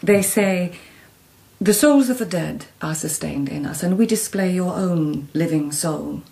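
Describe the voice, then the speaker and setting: An actress playing an elegant court lady poet of old Japan in a radio drama, formal, measured and lyrical. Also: on the low side, vocal fry, warm tone.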